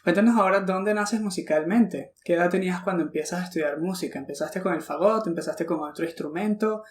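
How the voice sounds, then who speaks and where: A man hosting an interview podcast, effusive and warm in greeting, often repeating himself as he shapes his questions. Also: sits high, brisk, roomy sound, a little hiss or hum. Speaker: podcast host. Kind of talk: friendly interview.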